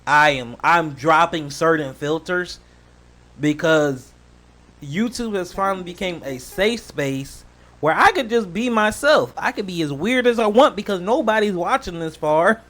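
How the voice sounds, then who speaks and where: A man's voice: sits high, expressive, unhurried, fairly bright tone. A man doing casual reaction commentary as a host, slangy and joking, drifting into rambling asides.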